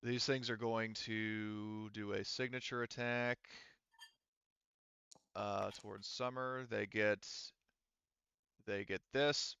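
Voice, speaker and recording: A man acting as game master in a tabletop roleplaying game, speaking haltingly as he thinks through the rules aloud. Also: fairly low pitch, flat delivery, creaky, sharp tone, clean tone, dry recording, highs cut off.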